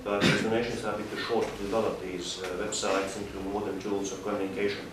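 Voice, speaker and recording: A man explaining as a panelist, halting as he presents without a script. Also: on the low side, flat delivery. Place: indoor panel discussion room. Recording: distant echoey room, clear noise.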